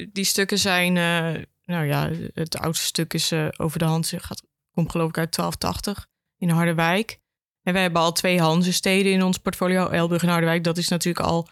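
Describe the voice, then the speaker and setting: A woman with a Netherlands Dutch accent explains conversationally as a podcast guest, thoughtful and halting, voicing imagined reactions. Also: on the low side, little pitch movement, dry recording, studio quality. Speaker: education officer at a regional archive, interview guest.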